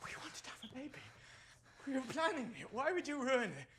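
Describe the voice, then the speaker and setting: A man's voice: sits high, very animated, moderate pace, heavy background noise. A man playing a volatile, possessive partner. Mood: furious. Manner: swings from hushed menace to roaring shouts. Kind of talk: scripted short-film drama.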